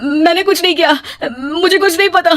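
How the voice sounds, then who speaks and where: A woman's voice: extremely high pitch, fairly quick, a bit bright, voice pushed up. A woman voicing a distressed, pleading younger brother in a melodramatic cartoon story. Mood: panicked pleading.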